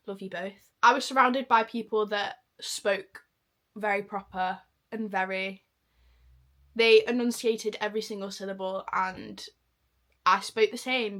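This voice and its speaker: Bright-voiced, self-mocking young woman. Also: a little high. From Manchester, England. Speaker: vlogger. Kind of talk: casual reaction vlog chat.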